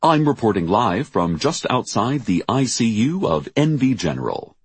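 A man voicing a brisk, intrusive male TV reporter speaking in formal on-air style in an audiobook. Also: medium pitch, big pitch swings, breathy edge, dry recording, pitched above the performer's own voice. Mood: brisk, official. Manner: projected, formal on-air delivery.

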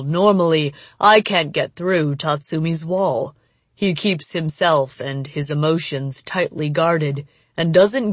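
A woman voicing a cruel, mocking male demon in an audiobook, speaking in a slow, menacing, gloating way. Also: sits low, no echo, pitched below the performer's own voice. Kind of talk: dramatic audiobook character voice.

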